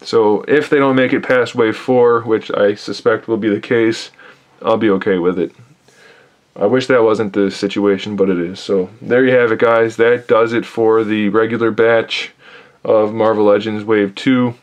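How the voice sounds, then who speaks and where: A man's voice: fairly low pitch, steady tone, moderate pace. Tired, critical man reviewing a toy. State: losing his voice. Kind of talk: casual product review, off-camera voice.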